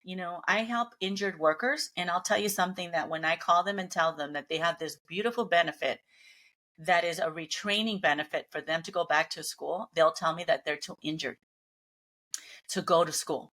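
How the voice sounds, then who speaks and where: A woman's voice: lowish voice, moderate pace, fairly bright tone. A middle-aged woman whose first language is Spanish, speaking earnestly and upbeat as a guest in a casual interview. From Mexican family, grew up in Los Angeles.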